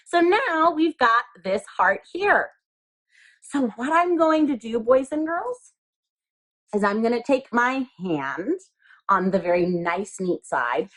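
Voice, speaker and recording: A woman teacher leading young children step by step through a craft, explaining simply and patiently with repeated phrases and little questions. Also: a little high. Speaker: elementary school craft teacher.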